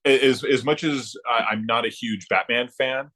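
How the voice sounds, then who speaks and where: A middle-aged man chatting casually about his hobby as a podcast guest, haltingly, often circling back and repeating himself. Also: medium pitch, steady tone, pushed and bright. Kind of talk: casual chat about comic books.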